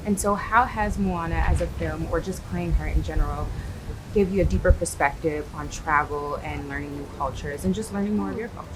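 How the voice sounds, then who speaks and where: A woman's voice: low voice, fairly quick. A woman interviewer asking questions in an enthusiastic, chatty way with fillers. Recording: noisy background ambience.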